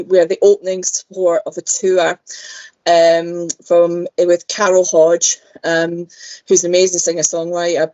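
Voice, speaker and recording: Chatty Glaswegian woman, slightly low voice. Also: a little mellow, highs cut off. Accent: Glaswegian Scottish. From Scotland. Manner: chatty, frequent "um"s, laughs easily. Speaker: musician guest. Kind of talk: casual interview chat.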